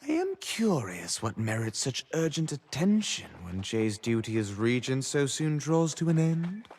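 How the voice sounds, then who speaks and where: A man's voice: mid-range voice, big pitch swings, unhurried, a little mellow. A man voicing a scheming older royal minister in a fantasy video game, pompous, sly and condescending. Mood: sly, probing.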